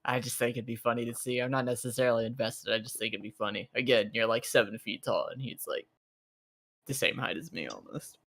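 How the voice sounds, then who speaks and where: A woman's voice: very deep voice, expressive, normal speed, pauses often, fairly bright tone. A woman playing a tabletop roleplay, chatting casually and teasing the others in a giggly way. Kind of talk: casual chat mixed with in-character banter.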